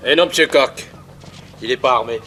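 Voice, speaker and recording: A man plays a brutal, mocking gang enforcer in an old radio thriller. His voice is extremely sharp and menacing. Faint noise sits on the vintage recording. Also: mid-range voice, normal speed, close to an everyday voice. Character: brutal, jeering gangster henchman, obedient to his boss. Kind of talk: 1960s French radio crime drama acting.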